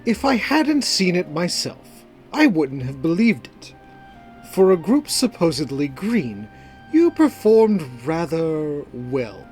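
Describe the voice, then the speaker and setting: A man voicing a smooth, practiced, aloof mage with a condescending way of talking in a fantasy story. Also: a little high, big pitch swings, slow pace, darkish tone. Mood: mock impressed. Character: suave, aloof male mage with secrets. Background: background music.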